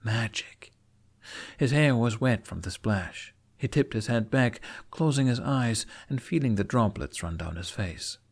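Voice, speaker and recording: A man narrating a fantasy story in an expressive, theatrical audiobook style. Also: medium pitch, a little slow, vocal fry. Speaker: fan fiction narrator.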